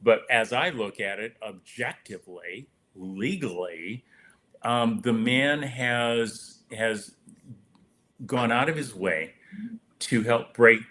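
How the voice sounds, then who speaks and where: Halting man with a bright, forceful voice. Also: mid-range voice. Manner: halting, rambling, searching for words. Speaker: panelist on a political talk show. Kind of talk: unscripted panel discussion.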